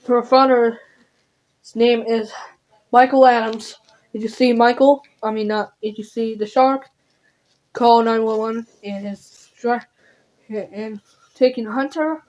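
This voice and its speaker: A woman reading out an improvised mock emergency alert in a halting, deliberate way, with stumbles. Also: on the high side, darkish tone, highs cut off.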